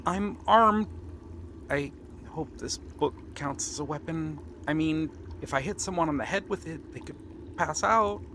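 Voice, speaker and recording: A man's voice: a little high, huge pitch swings, slow pace, background noise, heavily acted. A man playing a nervous, bookish young pirate prince in an ASMR roleplay, hesitant and earnest. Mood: startled, bluffing.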